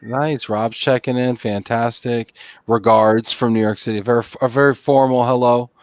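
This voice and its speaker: A man's voice: medium pitch, steady tone, normal speed, dry recording. A man hosting a live online show, chatty and greeting viewers by name. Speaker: host.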